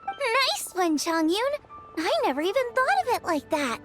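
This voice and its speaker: Tiny squeaky fairy girl for a video game, super high n excitable, swoops all over, music behind. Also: measured pace, exaggerated voice. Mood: impressed. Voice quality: squeaky, childlike. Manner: excitable, exclamatory, inquisitive. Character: tiny chirpy floating fairy girl companion. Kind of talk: cartoonish video game voice acting.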